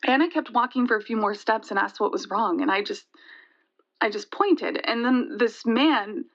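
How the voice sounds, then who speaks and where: A woman playing a nervous caller to a radio show, haltingly telling a frightening memory. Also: a little high, a bit bright, dull audio. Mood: uneasy, haunted. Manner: hesitant, halting. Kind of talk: halting personal storytelling, audio drama.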